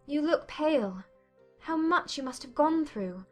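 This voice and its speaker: A woman playing an earnest, thoughtful young Regency gentlewoman in a dramatized audiobook, speaking in measured, formal phrases. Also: high-pitched, lively intonation, soft background music. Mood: concerned.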